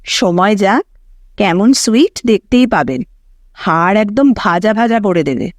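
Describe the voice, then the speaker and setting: A woman's voice: medium pitch, animated, unhurried, no echo, clean studio sound, close to an everyday voice. A woman voicing a reserved, slightly flustered mother in audiobook dialogue, polite, guarded and wry. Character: reserved, flustered young mother travelling with small daughter.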